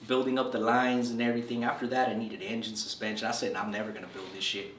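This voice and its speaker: A man's voice: on the low side, little pitch movement, fast, a bit bright, a little hiss or hum. Laid-back man telling stories, echoey and distant. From El Salvador. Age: 30s. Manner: laid-back slangy storytelling, says "bro" a lot. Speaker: interviewee. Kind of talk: casual storytelling interview.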